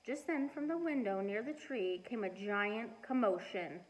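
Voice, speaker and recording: A middle-aged woman reading a storybook aloud and chatting to children in an expressive, deliberate way. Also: mid-range voice, rough recording. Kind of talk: storybook read-aloud and casual chat to children. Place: living room at home.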